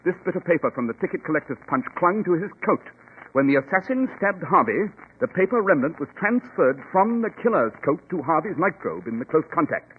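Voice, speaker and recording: A shrewd English gentleman detective in an old radio drama. His delivery is theatrical, precise and swooping in pitch, with diction, on a thin, phone-like and noisy vintage recording. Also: big pitch swings, a little fast. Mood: keen, brisk. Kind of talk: 1940s radio acting.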